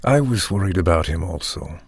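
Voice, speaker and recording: A suave vampire newcomer in an audio comedy. His low voice is husky and dry, very close, with formal, measured courtesy. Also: lively intonation, dry recording, heavily acted. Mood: suave, courteous. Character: urbane, courteous male vampire, wealthy and charismatic newcomer. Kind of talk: comic audio drama narration.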